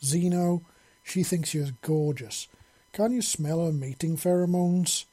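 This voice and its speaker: A man's voice: on the high side, unhurried, warm tone, slightly rough. A man voicing a cheeky, sweet young daughter in an audiobook story, meddling and easily chastened. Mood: teasing sweetness. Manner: sweetly teasing.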